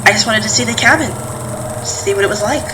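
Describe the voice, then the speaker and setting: A woman's voice: medium pitch, fairly quick, close to an everyday voice. A woman playing a guarded, impatient daughter and sister in a naturalistic audio drama, with terse, prodding, conversational delivery. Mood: uneasy. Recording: distant from mic, clear background noise.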